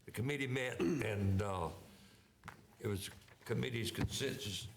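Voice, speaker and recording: Council member, man, hoarse and gravelly, halting delivery. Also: medium pitch, a little hiss or hum. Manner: halting, many pauses. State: losing his voice.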